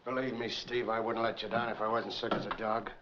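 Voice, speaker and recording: An actor playing an apologetic, sick truck driver, in 1940s film noir style. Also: male voice, lowish voice, level tone, fairly quick, natural voice. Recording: muffled, noisy 1940s film soundtrack.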